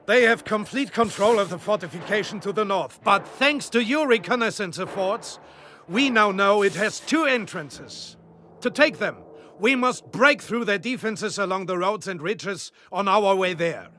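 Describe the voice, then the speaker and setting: An actor playing a shrewd, confident German panzer general in a game, with a German accent and a theatrical, measured, commanding manner. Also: male voice, sits high, animated, pushed and bright, faint music behind. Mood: confident, calculating. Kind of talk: dramatic video game military briefing.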